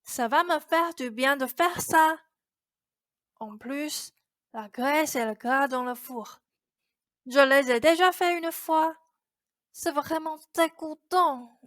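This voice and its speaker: A woman's voice: sits high, slow pace, long pauses, fairly bright tone, no echo, clean studio sound. A woman playing a meek, self-punishing teenage girl in a radio drama, speaking hesitantly and earnestly.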